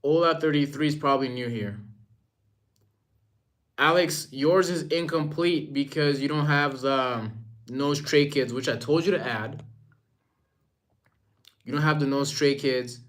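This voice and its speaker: A man's voice: on the high side, measured pace, pauses often. A young man from Rwanda talking casually and informally to his live-stream viewers, using slang and often repeating himself.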